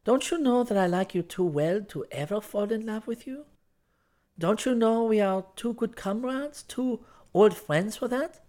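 A man's voice: sits high, unhurried, a little mellow, pitched above the performer's own voice. A male narrator voicing a poised young Frenchwoman, teasing, candid and pointed, in a storybook reading. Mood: teasing, reproachful. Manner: pointed questioning and scolding, sprinkled with French exclamations.

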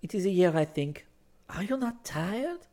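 A man's voice: high voice, animated, a little slow, darkish tone, pitched above the performer's own voice. A male narrator voicing a poised young Frenchwoman, teasing, candid and pointed, in a storybook reading. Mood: teasing, reproachful. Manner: pointed questioning and scolding, sprinkled with French exclamations.

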